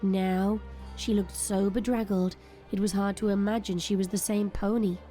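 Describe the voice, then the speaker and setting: A woman's voice: medium pitch, flat delivery, normal speed, warm tone, faint music behind. A woman narrator reading a scripted, dramatic story.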